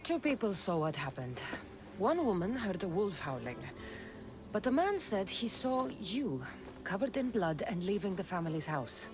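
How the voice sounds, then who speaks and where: A woman's voice: mid-range voice, very animated, moderate pace, close to an everyday voice. A woman voicing a tough, level-headed warrior woman in a video game, frank and firm in manner. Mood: measured, serious. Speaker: investigator and fighter. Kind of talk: video game cutscene acting.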